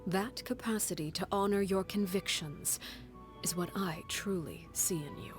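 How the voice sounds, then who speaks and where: An actress voicing a cold, commanding woman who heads a household of orphans, speaking in a calm, measured, stern way in a video game. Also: mid-range voice, close to an everyday voice. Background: game score music.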